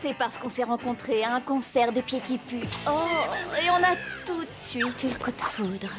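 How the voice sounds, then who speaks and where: A woman playing a cheerful, sentimental but practical mother in a French animated series, bubbly and expressive. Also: fairly high pitch, normal speed, natural voice. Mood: gushing. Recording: background music under the voice.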